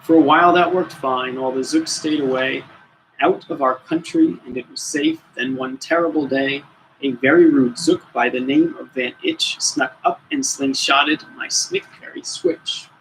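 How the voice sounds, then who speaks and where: A man reads a children's story aloud in an expressive, theatrical way. His voice sits a little higher than most men's. He leans deliberately on the rhymes, and some noise runs behind him. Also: rough recording. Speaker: storybook reader.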